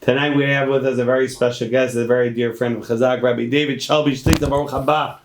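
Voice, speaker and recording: A man hosting an interview program, greeting his guest with welcoming, formal lines and Hebrew blessings. His voice is mid-pitched and bounces around an echoey room. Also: flat delivery, fast, background noise. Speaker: program host.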